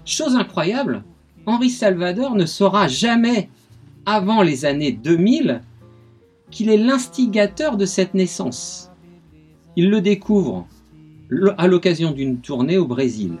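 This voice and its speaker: A husky man with a slightly high voice tells music history on the radio with lively enthusiasm. Soft music plays beneath his voice. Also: measured pace. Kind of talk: radio music segment, storytelling.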